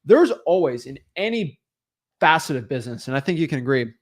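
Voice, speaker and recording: Animated young man coaching with emphasis. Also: on the high side, a little fast. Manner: emphatic, rhetorical questions, frequent "right?" and "okay?". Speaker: online real estate coach. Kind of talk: motivational teaching talk.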